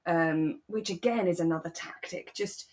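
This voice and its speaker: A woman co-hosting a podcast in relaxed, reflective, conversational chat. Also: on the low side, normal speed. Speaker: podcast co-host. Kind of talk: relaxed casual chat about a radio drama.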